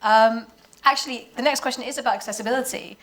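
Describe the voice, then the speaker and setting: A woman's voice: fairly high pitch, fairly even intonation, fast. A woman moderating a panel discussion, putting questions to the guests in a conversational style.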